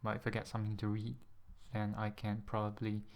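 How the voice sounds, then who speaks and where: Soft-spoken hesitant Singaporean man. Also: on the low side, monotone. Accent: Singaporean-influenced English, carefully pronounced. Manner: hesitant, many ums, a little nervous; careful, deliberate pronunciation. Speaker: ASMR channel host. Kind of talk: casual vlog talk to camera. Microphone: USB desk microphone.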